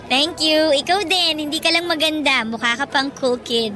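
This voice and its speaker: A woman's voice: high-pitched, unhurried. A woman playing a shy, naive and polite young college student in a radio drama, speaking hesitantly. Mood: shy, friendly.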